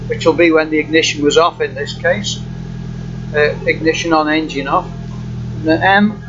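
Guy demoing software off the cuff, kinda halting and matter of fact, dull muffled audio w/ loud engine noise. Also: a little high. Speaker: software demonstrator. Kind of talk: informal screen-recorded software walkthrough. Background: running car engine hum.

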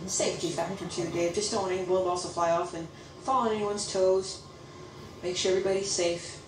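A woman's voice: fairly low pitch, fairly even intonation, a little fast, slight background noise. Roomy-sounding female bowling color analyst. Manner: technical, explanatory analysis. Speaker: color commentator. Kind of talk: sports broadcast analysis.

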